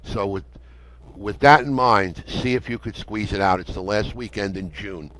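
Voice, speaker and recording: A man's voice: on the low side, moderate pace, fairly bright tone, poor audio. An older American man, telling a story conversationally as an interview guest. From United States. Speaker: interview guest, ham radio operator.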